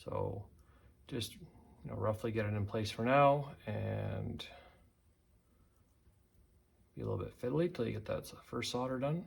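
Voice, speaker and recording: A man giving an unscripted hands-on tutorial, talking haltingly as he thinks aloud while working. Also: fairly low pitch, creaky, slightly rough. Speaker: tutorial presenter.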